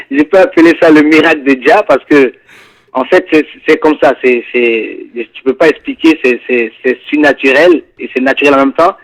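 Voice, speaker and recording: A singer from Ivory Coast with an Ivorian French accent, chatting in a radio studio interview and often answering "yes, sir". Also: male voice, fairly high pitch, measured pace, long pauses, poor audio. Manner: relaxed, peppers talk with "yes, sir". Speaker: guest singer.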